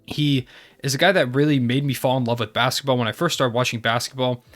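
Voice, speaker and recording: Young male sports vlogger, quick and eager, nasal from a cold. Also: mid-range voice, steady tone, fairly bright tone. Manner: enthusiastic, fan-like. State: congested from a cold. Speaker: basketball channel host. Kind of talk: casual video recap.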